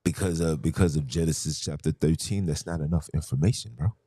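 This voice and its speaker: A man's voice: rumbling low, a little fast, dark tone, husky, dry recording. A man hosting a podcast, arguing in a heated monologue full of rhetorical questions and emphatic repetition. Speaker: podcast host.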